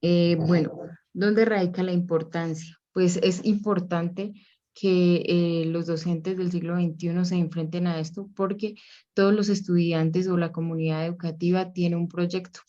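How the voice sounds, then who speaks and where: A woman giving an explanatory academic presentation on education, speaking in a measured way with frequent hesitation fillers. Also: on the low side, flat delivery, mellow. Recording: dull lo-fi recording.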